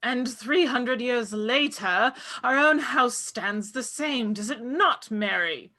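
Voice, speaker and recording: A woman's voice: a little high, slow, sharp tone, heavily acted. A woman playing a bossy, irritable witch who speaks in imperious, archaic phrases at a script table read. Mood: exasperated. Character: bossy, irritable witch, eldest of three sisters.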